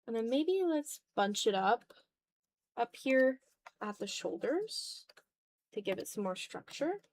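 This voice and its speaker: A woman's voice: fairly high pitch, big pitch swings, takes it slowly. A woman livestreamer chatting casually while drawing, thinking aloud in fragments with a self-deprecating manner. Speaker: art livestreamer.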